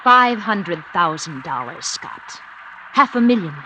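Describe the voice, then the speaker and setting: An actress playing a scheming, greedy wife in vintage radio drama, insistent, persuasive and commanding. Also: female voice, fairly low pitch, lively intonation, slow. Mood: cold, insistent. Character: scheming, greedy wife plotting her husband's murder. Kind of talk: 1940s-50s radio drama acting. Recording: noisy old radio recording.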